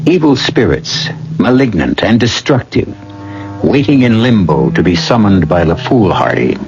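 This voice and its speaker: Ominous, low-voiced male mystery narrator. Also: very animated. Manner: ominous, theatrical, deliberate phrasing. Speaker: host and narrator. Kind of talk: dramatic scripted radio mystery narration. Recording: dull old radio recording, loud music. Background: dramatic music.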